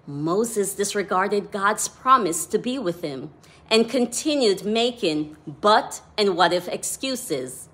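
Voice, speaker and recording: Woman, Jamaican accent, devotional author, unhurried, measured scripture reading. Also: medium pitch, pushed and bright.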